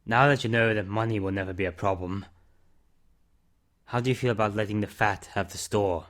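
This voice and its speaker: A man playing an older reclusive character in an amateur audio drama, calm, firm and fatherly, speaking in terse, measured phrases. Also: lowish voice, natural voice. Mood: firm, matter-of-fact.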